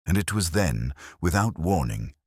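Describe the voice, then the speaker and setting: A very deep, dry-sounding older male detective, voiced by a man, weary and melancholy. He narrates a literary radio mystery in a sombre, measured way. The pitch moves expressively within that low register. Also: big pitch swings, a little mellow, slightly rough, dry recording, exaggerated voice. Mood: sombre, reflective.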